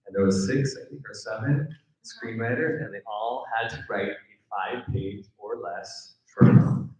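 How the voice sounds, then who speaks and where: A man's voice: on the low side, unhurried, darkish tone. A man casually moderating a screenwriting event as host and mentor. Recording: very echoey room, distant dull mic.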